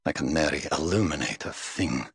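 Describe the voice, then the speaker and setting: An English actor voicing a weary, aloof middle-aged knight in a game, speaking in a dry, measured, world-weary way. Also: male voice, a bass voice, lively intonation, vocal fry, slightly rough, no echo, exaggerated voice. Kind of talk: game voice lines.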